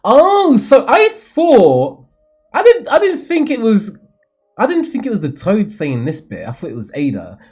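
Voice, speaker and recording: A man hosting a manga read-along, giving slangy, emphatic and expressive fan commentary. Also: sits high, measured pace, breathy edge, faint music behind.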